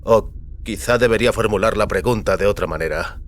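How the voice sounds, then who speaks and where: A man's voice: fairly low pitch, brisk. A man narrating scripted audio fiction as a storyteller.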